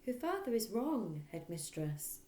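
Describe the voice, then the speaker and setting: A woman voicing a gentle, nervous but determined teacher in a storybook, polite and pleading. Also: mid-range voice, sing-song, measured pace, pitched above the performer's own voice. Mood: pleading, firm. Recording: roomy echo.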